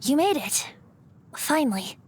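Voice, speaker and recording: A woman's voice: sits high, very animated, a little slow. A woman voicing a playful, boyish, ancient wind-spirit bard in an anime-style video game, with a whimsical, lilting storyteller's delivery. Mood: breezy.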